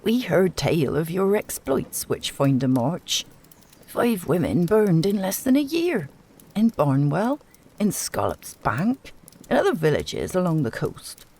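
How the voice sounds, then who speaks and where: Village elder for a storybook tale, played by a woman: unhurried, courteous, softly menacing, lifted above the narrating pitch. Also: very animated, husky, heavily acted. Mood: calm, courteous. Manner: measured, polite. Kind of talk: dramatised storybook reading.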